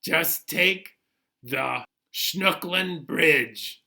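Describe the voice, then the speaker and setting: A man voicing an odd, unflappable male taxi passenger from a picture book, terse and deadpan. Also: on the high side, drawn-out words, vocal fry, heavily acted. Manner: terse, deadpan, stubbornly matter-of-fact.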